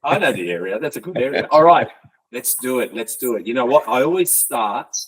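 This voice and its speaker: A man's voice: medium pitch, animated, brisk, husky, rough recording. A man hosting a podcast, joking and teasing his guest in casual chat. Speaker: podcast host.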